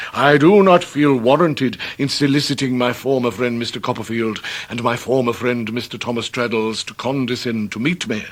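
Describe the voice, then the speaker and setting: A pompous Victorian English debtor played by a male actor, mid-pitched and grandly theatrical. He rolls out ornate, long-winded phrases with downcast dignity, as in a period radio drama. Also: close to an everyday voice.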